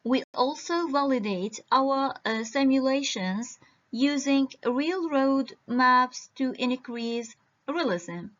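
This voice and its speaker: Woman presenting her research at a conference, speaking slowly with constant hesitations, on muffled lo-fi call audio. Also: sits high, a bit bright. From Iraq. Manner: halting, with frequent hesitations. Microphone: video call.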